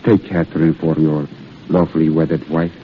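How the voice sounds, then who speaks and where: An actor playing a weary, gentle army priest, halting and solemn, in an old radio drama. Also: male voice, rumbling low, flat delivery. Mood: solemn, tender. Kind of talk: 1930s-40s radio drama acting. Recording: muffled, noisy old radio broadcast.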